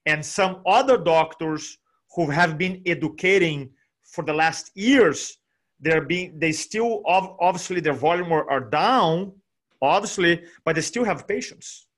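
A fairly high-voiced man in his forties with a Brazilian Portuguese accent talks on a video call from a small room at home. He is animated, and he repeats his key phrases for emphasis. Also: unhurried. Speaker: guest speaker. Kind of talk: energetic motivational talk. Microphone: desk microphone on video call.

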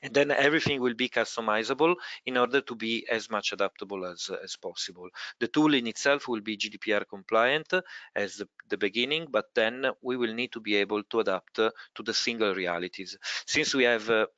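A low-pitched man gives a webinar presentation on a research project. His delivery is halting, with words repeated as he feels his way along. The audio is dull and close, with very little room sound. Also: bright, forceful tone, no room sound. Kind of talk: webinar presentation over slides.

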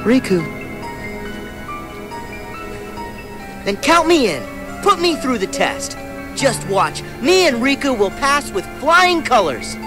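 An actor, a man, playing a cocky, eager teenage boy hero in a video game, brash and energetic. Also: extremely high pitch, unhurried, over music, full character voice. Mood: eager, confident. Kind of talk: video game cutscene acting.

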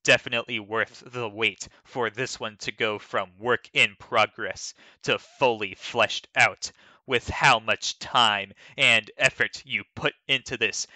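A man giving a dramatic, deliberate reading of a written comment in a theatrical manner. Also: medium pitch, sharp tone, dry recording, poor audio. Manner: theatrical, deliberate, measured delivery. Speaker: dramatic reader of an online comment.

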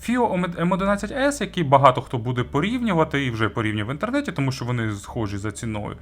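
A man's voice: medium pitch, big pitch swings, fast talker, a bit bright. A man giving a casual, conversational product review, explaining things informally as the host. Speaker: audio gear reviewer and host. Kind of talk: casual semi-scripted product review commentary.

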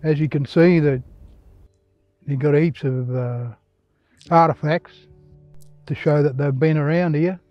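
An older man with an Australian accent telling stories informally on location in a plain-spoken, halting, conversational way. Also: a little high, steady tone, no echo, lo-fi sound. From Western Australia. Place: outdoors in open dry country. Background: guitar music.

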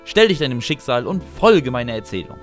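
A man's voice: mid-range voice, big pitch swings, a little fast, faint music behind. Bossy, fumbling male narrator. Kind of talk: comic audio drama narration.

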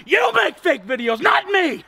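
A man's voice: extremely high pitch, very animated, unhurried, gravelly, sharp tone, raised voice. A man in his thirties ranting in a heated, agitated argument on a vlog.

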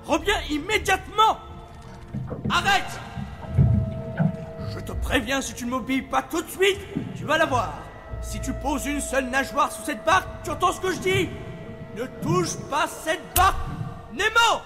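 Guy doing an overprotective clownfish dad, scolding in a high strained cartoon voice, music playing. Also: expressive, measured pace, pauses often. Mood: alarmed anger. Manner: scolding, shouting, pleading. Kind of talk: cartoon fandub acting. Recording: loud music behind the voice.

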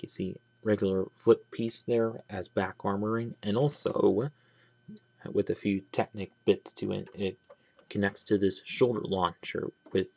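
A man giving a casual, rambling toy review, pausing and restarting often as he handles the pieces. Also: on the low side, darkish tone, no room sound. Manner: rambling, halting, many restarts and trailing "but yeah". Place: small indoor room at a table. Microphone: camera close on hands, speaker off-frame.

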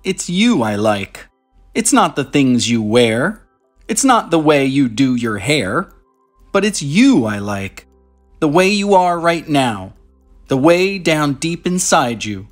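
An American man in his thirties with a slightly high voice presents a read-aloud show for children. He speaks in clear, simple phrases, as if to young listeners, with some music behind him. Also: big pitch swings, slow pace, roomy sound. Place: small furnished room indoors.

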